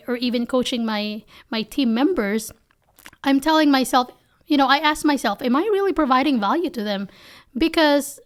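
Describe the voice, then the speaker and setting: A woman from the Philippines with a Filipino accent talks earnestly in an interview, her voice sitting a little high. She speaks close to a desk podcast mic in a small office studio. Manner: earnest and animated, frequent "you know". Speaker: guest, company founder. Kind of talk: candid interview answers. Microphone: desk podcast mic on boom arm.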